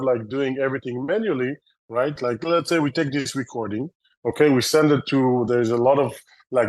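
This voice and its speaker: A man with an Israeli accent speaks at an ordinary middle pitch, a founder talking through his story in an interview. His answers ramble and restart, full of fillers and self-corrections. The sound is dry and close, with no room echo. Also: a little mellow. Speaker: company founder and CEO.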